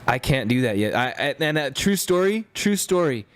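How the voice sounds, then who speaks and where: A man's voice: medium pitch, big pitch swings, moderate pace, clear, resonant tone. A man who is a chef, a guest on a podcast, talking in a laid-back, self-deprecating way. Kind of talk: casual chat.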